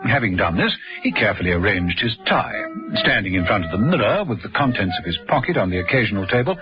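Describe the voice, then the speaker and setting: A man's voice: fairly low pitch, very animated, fast, over music, rough recording. A man narrating a scripted radio drama, telling the story between the scenes.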